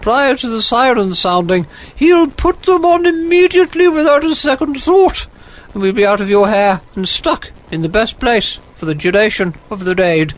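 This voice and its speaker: A woman's voice: on the high side, expressive, close to an everyday voice, poor audio. A woman playing an imperious, boozy upper-class English agony aunt, pompous and theatrical, in a 1940s-set radio comedy. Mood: pompous advising. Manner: pompous, theatrical, brisk, commanding.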